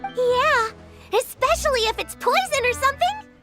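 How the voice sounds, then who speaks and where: A woman's voice: extremely high pitch, expressive, moderate pace, loud music behind the voice. A woman voicing an eager young orphan girl obsessed with potions, blithely cheerful about sinister things in a fantasy game. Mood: eager, gleeful. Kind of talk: animated fantasy game dialogue.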